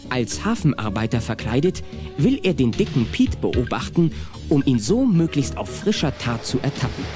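A man narrating a children's audio play in a scripted storytelling style. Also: a little high. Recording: music behind the narration.

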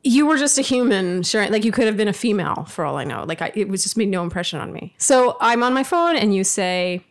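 A woman in her thirties with a low voice, co-hosting a podcast from her living room, telling a story in a lively way. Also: a little fast, hardly any pauses. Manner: animated storytelling with laughs and restarts. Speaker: podcast co-host. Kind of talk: casual chat. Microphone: studio mic on boom arm.

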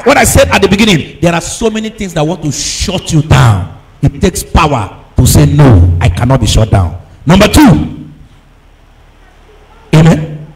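A man preaching a charismatic sermon, emphatic and exhorting, repeating phrases, firing questions at his congregation and at times breaking into shouts. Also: a little high, creaky, poor audio. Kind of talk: charismatic sermon with call and response.

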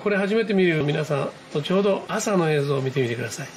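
A man giving casual, excited live commentary as a hobby vlogger, reacting aloud in exclamations as he goes. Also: fairly high pitch, quick pace, a little hiss or hum. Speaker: amateur radio operator hosting his own video. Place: indoors at a desk, filming a computer screen.

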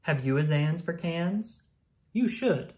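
A woman reading a rhyming children's picture book aloud in a playful, sing-song, expressive manner. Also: low voice, slow.

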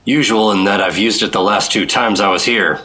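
An actor playing a wary, terse 1950s secret agent in a noir radio drama, with a deadpan, clipped delivery. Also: male voice, fairly low pitch, brisk, slightly rough.

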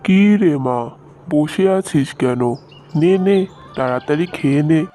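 A man playing a caring, middle-aged village fisherman father in a fairy-tale cartoon, speaking in a gentle, fatherly way. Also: a little high, a little slow, slight background noise, lightly acted.

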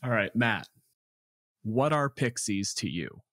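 A man's voice: on the low side, big pitch swings, takes it slowly, a bit bright, no room sound, clean studio sound. A man hosting a podcast, with scripted introductions and casual gaming chat. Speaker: podcast host.

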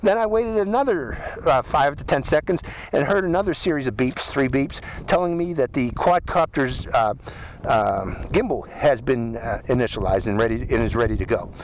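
Middle aged pittsburgh guy doing a casual outdoor drone review, really lively up and down voice, bit of background noise. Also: mid-range voice, normal speed, rough recording. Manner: chatty, explains step by step. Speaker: drone reviewer and channel host. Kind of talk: casual hands-on product review narration. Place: outdoors in a windy desert canyon. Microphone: body-worn hat camera. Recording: outdoor action-camera audio with noise.

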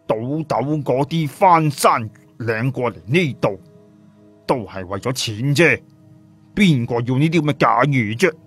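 A man's voice: medium pitch, expressive, unhurried, a bit bright, breathy edge, faint music behind. A man voicing a brash, scornful, streetwise fellow with a blunt, slangy, mocking manner in an audio story. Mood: scornful explaining.

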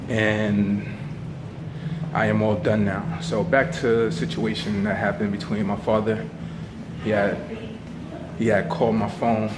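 A man's voice: on the low side, noisy background. A young man talking casually to camera as a vlogger, recorded on a handheld phone at arm's length while out and about. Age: young adult. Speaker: vlogger, actor and filmmaker. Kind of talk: casual vlog talk to camera. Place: on the move in city streets and indoor hallways.

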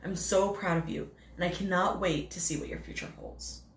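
A woman's voice: lowish voice, normal speed. A woman teacher speaks in a heartfelt, tearful way in an indoor video message. The room is noticeably echoey around her. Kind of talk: recorded video tribute.

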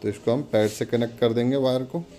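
A man's voice: medium pitch, steady tone, fast, warm tone. Indian man demonstrating, music behind. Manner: instructional, addresses viewers as friends. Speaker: product demonstrator. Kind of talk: casual step-by-step demonstration.

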